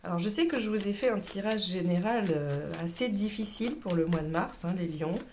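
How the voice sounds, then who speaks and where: A woman's voice: on the low side, fairly quick, darkish tone, slight background noise. A woman with a cold giving a casual tarot reading, chatty and hesitant, sometimes dropping to a whisper. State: has a cold. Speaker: tarot reader.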